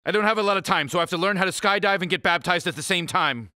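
A man voicing a grumpy, uptight, pompous alien spaceship leader in an animated cartoon game, exasperated and emphatic. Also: sits high, racing, no room sound, studio quality. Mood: matter-of-fact, emphatic.

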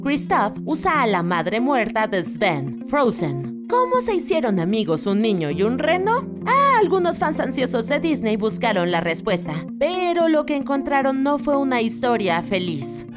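Playful lady hosting a countdown, animated delivery, music blasting behind her. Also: a little high, normal speed, few pauses. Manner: expressive, playful. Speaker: host of a countdown show. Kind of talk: scripted countdown narration with playful asides. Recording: voice over loud music bed. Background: loud music.

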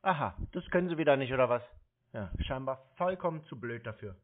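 A man's voice: mid-range voice, animated, a little fast. A man arguing over the phone in a blunt, insistent, scolding way. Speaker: scam-baiting caller. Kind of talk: confrontational phone argument. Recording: tinny narrow phone line.

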